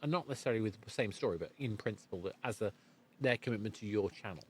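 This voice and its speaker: Male podcast host. Lowish voice, conversational, hesitant, rewording as he goes. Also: expressive, quick pace, a little hiss or hum. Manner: hesitant phrasing, rephrasing as he thinks aloud. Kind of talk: interview conversation.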